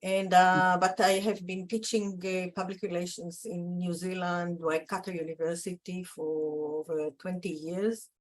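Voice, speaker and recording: Israeli woman, PR professor, slow, hesitant, video call. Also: on the low side, level tone. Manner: slow and hesitant, with many fillers. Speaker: public relations professor. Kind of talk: self-introduction over video call.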